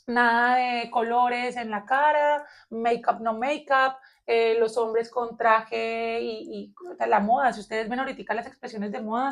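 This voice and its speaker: A Colombian woman with a Colombian accent, co-hosting a philosophy discussion and thinking aloud in an animated way, with many fillers. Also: on the high side. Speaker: podcast co-host. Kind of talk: philosophical round-table discussion.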